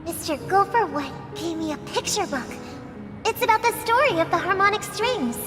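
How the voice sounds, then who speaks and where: A woman's voice: extremely high pitch, lively intonation, normal speed, over music. A woman voicing a sweet, hopeful little girl in a game, earnest and innocent, with a long reverb. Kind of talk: scripted game dialogue. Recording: reverberant flashback-like hall echo.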